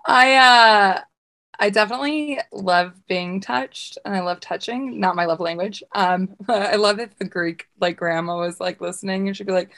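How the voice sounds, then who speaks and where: A woman's voice: mid-range voice, normal speed, fairly bright tone. A young adult woman co-hosting a podcast in casual chat, rambling and laughing often. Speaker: podcast co-host.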